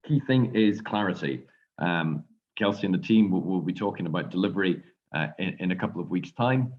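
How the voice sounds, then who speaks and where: A man with an Irish accent, a law lecturer and barrister, calmly answering students' questions in an online lecture. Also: sits low, brisk. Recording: dull lo-fi audio.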